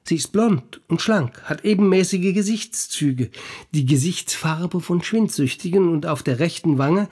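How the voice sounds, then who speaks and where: An actor playing a small, thin, skeptical doctor, a wry and knowing confidant who passes on gossip in a radio play. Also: male voice, a little high, expressive, a little slow, darkish tone, husky. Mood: gossipy. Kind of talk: literary radio play dialogue.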